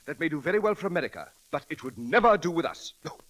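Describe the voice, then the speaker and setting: A brisk, prim English manservant. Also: male voice, fairly high pitch, lively intonation, a bit bright. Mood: stiffly proper. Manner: formal, stiff, polite. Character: prim, deferential English gentleman's servant, stiffly proper. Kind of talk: 1930s radio comedy acting.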